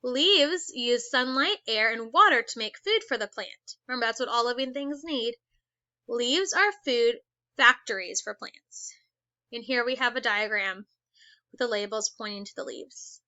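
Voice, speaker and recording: Woman teacher, bright and a bit high, reads a lesson aloud slowly and asks kids questions; dry, slightly dull recording. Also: no room sound. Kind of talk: read-aloud lesson for children.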